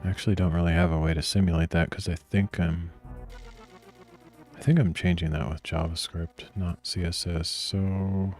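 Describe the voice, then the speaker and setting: Very low, grainy-voiced man coding. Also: level tone, moderate pace, soft background music. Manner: soft-spoken, meandering, frequent throat clearing. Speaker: streamer coding live. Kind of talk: casual thinking aloud while coding.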